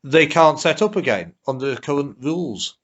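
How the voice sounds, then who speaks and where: A man's voice: expressive, normal speed. A man asking questions as the interviewer in a phone interview. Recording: muffled, low-fidelity interview recording.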